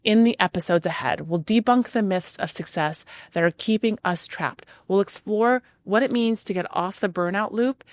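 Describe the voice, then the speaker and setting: A middle-aged woman whose first language is Arabic, hosting a podcast from a hotel room in an earnest scripted monologue of rhythmic lists and emphatic pauses. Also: mid-range voice, no echo. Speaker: podcast host.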